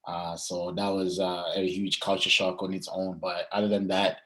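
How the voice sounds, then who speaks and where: Young man, low voice, hesitant casual interview talk, frequent pauses. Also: level tone, fairly bright tone. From Zimbabwe and South Africa. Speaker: athlete being interviewed.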